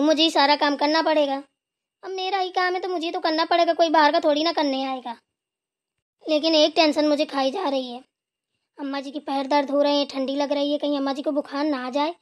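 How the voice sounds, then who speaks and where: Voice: sits high, no echo, studio quality, close to an everyday voice. A performer voicing a dutiful, overworked village daughter-in-law in a Hindi cartoon, chatty and deferential. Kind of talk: Hindi family cartoon dialogue.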